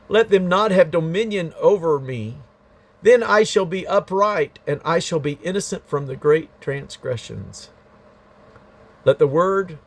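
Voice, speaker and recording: A man reading scripture aloud in a solemn, emphatic, declamatory way, stressing his phrases. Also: a little high. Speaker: Bible reader.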